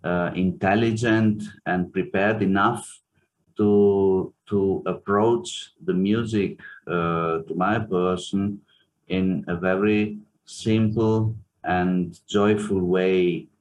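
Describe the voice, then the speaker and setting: A man with an Italian accent, a pianist and music teacher, answering interview questions thoughtfully with many pauses and restarts. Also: deep voice, steady tone, rough recording. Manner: hesitant, many fillers and restarts.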